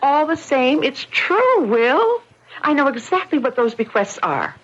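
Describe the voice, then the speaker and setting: An actress playing an earnest, insistent housewife in a vintage radio mystery drama. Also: female voice, sits high, sing-song, measured pace. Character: earnest housewife insisting on her strange tale. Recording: muffled old radio broadcast.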